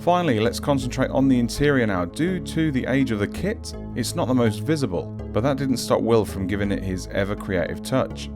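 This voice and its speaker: A man giving a scripted voice-over as the host of a hobby showcase. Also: mid-range voice. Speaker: host of a scale-model showcase series. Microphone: handheld audio recorder. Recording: music under the voice. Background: guitar music.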